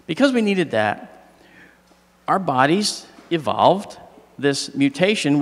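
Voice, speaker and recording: A middle-aged man with a slightly hoarse voice gives a joking, animated astronomy lecture on stage into a handheld mic. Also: a little high. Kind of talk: public lecture with jokes. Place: large hall, on stage. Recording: long reverb of a large hall.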